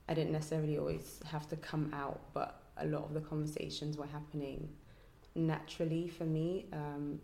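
A hairstylist and artist from Nigeria, speaking quietly and reflectively in a casual interview, halting with ums. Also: female voice, sits low, creaky. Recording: echoey room with faint noise.

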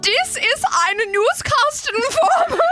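A woman's voice: very high-pitched, lively intonation, slow pace, over music, heavily acted. A woman voicing a prim, officious 1930s radio newsreader giving mock formal announcements. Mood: flustered officious.

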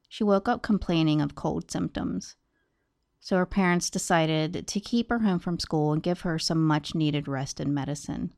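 A woman's voice: sits low, darkish tone, no echo, studio quality. A woman hosting a true crime podcast, talking to listeners and narrating. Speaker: podcast host.

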